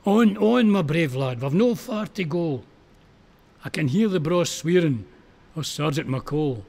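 A man's voice: on the high side, expressive, measured pace, creaky, darkish tone, heavily acted. Man acting a plucky wounded Scottish soldier. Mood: urgent, rousing. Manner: urgent, exclamatory, dogged. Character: wounded, plucky young Scottish First World War soldier. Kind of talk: dramatic poetry recital.

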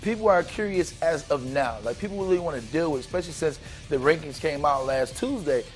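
A man in his thirties argues his points with animated energy, rattling off teams in quick lists. His voice is somewhat high, and faint music plays behind him in the studio. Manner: animated, argumentative, rapid lists. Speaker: studio analyst, former player. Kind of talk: studio sports talk. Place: studio set with couches. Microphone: lapel.

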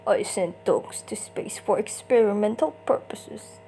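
Woman doing a stern, gruff Soviet officer. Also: mid-range voice, unhurried, dark tone, slight background noise, lightly acted. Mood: deadpan. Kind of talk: amateur comic dub.

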